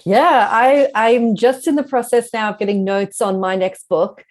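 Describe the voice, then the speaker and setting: A woman's voice: a little high, fairly even intonation, normal speed. A woman speaking as a guest author in a casual interview, explaining things in a reflective way.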